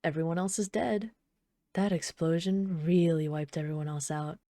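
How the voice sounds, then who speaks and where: A mellow, dark-toned villainess played by a woman speaks close and very dry, as in an ASMR roleplay. She is an obsessive yandere bomber, soft and unhurried, teasing her captive hero with casual menace in a lowish voice. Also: steady tone, no room sound, close to an everyday voice. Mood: nonchalant counting. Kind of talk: ASMR roleplay, intimate scripted monologue.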